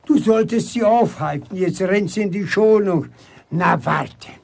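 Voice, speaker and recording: Casting: blunt, exasperated countrywoman with a horse, radio drama, gravelly and unhurried, commanding. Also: medium pitch, darkish tone. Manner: grumbling, commanding, colloquial. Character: exasperated, grumbling horse owner, bossy and blunt. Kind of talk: German radio play acting.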